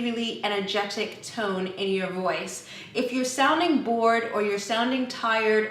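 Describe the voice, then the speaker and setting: Higher-voiced woman coaching in an echoey room. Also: a bit bright. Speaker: career coach hosting an advice video. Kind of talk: direct-to-viewer instructional talk. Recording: echoey room, distant microphone.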